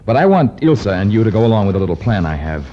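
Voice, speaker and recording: A steady jungle hero with a low, widely swinging voice. He speaks formally and deliberately in the manner of 1950s radio adventure. The old recording is muffled. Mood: grave, determined. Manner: formal, measured, deliberate speech. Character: steady, commanding jungle hero, grown man.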